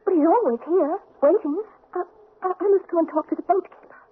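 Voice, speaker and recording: High-voiced anxious young countess, tinny radio. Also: female voice, fairly quick. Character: unhappy young countess wife, anxious and romantic. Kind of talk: old-time radio drama acting. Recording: tinny, hissy old radio recording.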